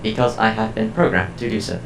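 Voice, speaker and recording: Man as a gravelly, cold household AI. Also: lowish voice, brisk, reverberant, faint music behind. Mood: detached, explanatory. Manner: stilted, precise, emotionless. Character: cold, formal male household artificial intelligence. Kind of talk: audio drama acting.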